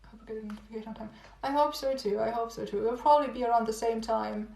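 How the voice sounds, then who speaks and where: A young woman chatting casually with livestream viewers, rambling and repeating herself with little laughs, her voice going hoarse. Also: on the high side, fast, a little mellow. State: losing her voice. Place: home room. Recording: echoey room, phone livestream.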